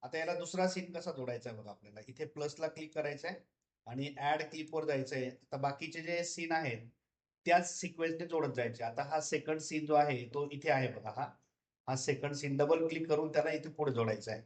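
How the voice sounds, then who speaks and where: A man giving an unscripted, step-by-step software tutorial in a repetitive, instructional way. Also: fairly high pitch, fairly quick. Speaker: tutorial presenter.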